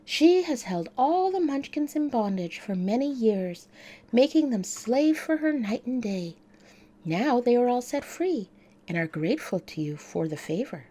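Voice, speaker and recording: Woman voicing a sweet, high-pitched little old storybook lady. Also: sing-song, a little slow, mellow. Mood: calm, explaining. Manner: courteous, sweet-spoken. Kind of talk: storybook character voice.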